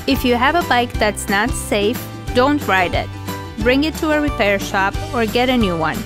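Female narrator, higher register, scripted instructional read with music underneath. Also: lively intonation, moderate pace.

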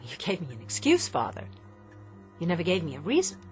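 Animated, earnest young woman. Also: on the low side, brisk, soft background music. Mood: defiant, bitter. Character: sheltered, discontented young adult daughter, questioning, rebellious. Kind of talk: radio drama acting.

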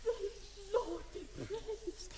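A woman's voice: extremely high pitch, very slow, dark tone, a little hiss or hum, full character voice. An actress playing a desperate mother walled up in a cell, pleading weakly in a radio drama. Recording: long cavernous reverb.

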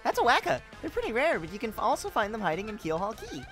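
A woman's voice: fairly high pitch, fast, exaggerated voice. Perky young female game guide, read by a woman, chatty and earnest, very varied intonation, faint music behind. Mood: informative, earnest. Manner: explanatory, expressive.

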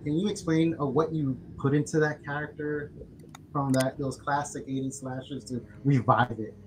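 A man's voice: medium pitch, level tone, moderate pace, noisy background. A middle-aged man interviewing an actor over a video call from his home office, enthusiastic and full of ums. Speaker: interviewer, managing editor. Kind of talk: casual interview questions. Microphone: studio mic with earbuds on video call.

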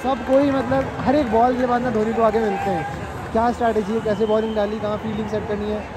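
Young man, high-pitched, excitable, casual vlogger, English words mixed in, phone recording in stadium stands, roaring crowd. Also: brisk. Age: 20s. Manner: excitable, informal, mixes English words into speech. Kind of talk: casual vlog chat, live match reactions. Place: in the stands of a large open-air cricket stadium. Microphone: phone at arm's length. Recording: loud stadium crowd and music behind.

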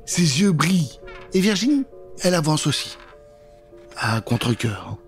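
A man's voice: fairly high pitch, very animated, measured pace. A middle-aged man telling a scary children's tale in a theatrical, suspenseful way, close on a studio mic. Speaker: storyteller. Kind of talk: dramatic storytelling for children. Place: small indoor recording set. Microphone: studio mic with pop filter, close. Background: music.

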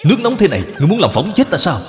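A man's voice: sits high, very animated, very fast, darkish tone, pitched above the performer's own voice. A man voicing a harsh, domineering stepmother who scolds scornfully in a folk tale. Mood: angry. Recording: long reverb, music behind.

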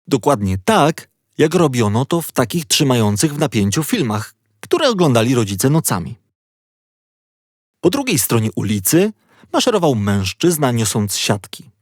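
A man reading a children's audiobook as a playful, expressive storyteller. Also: medium pitch, moderate pace, no echo, clean studio sound. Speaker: audiobook narrator. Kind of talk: scripted children's story narration.

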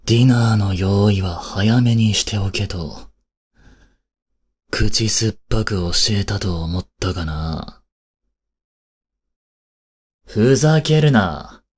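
An actor playing a cold, haughty young prince in an audio drama, with a husky, breathy voice and a curt, imperious manner. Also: male voice, fairly low pitch, expressive, takes it slowly, long pauses, a little mellow, full character voice. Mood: stern, scolding. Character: cold, haughty young prince scolding and fretting over his maid. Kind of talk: Japanese audio drama acting.

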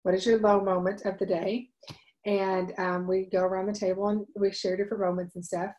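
Earnest forties woman host, loud voice, halting storytelling into a home webcam. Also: medium pitch, little pitch movement, low-quality recording. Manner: earnest, many ums and restarts, talks with her hands. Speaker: host of a wellness livestream. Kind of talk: casual personal storytelling. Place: home room, indoors. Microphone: webcam at desk.

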